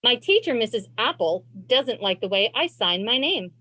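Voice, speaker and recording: A woman reading a children's storybook aloud as host, phrasing expressively and deliberately for young listeners on a video call. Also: fairly high pitch, sing-song, pushed and bright. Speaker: librarian and read-aloud host.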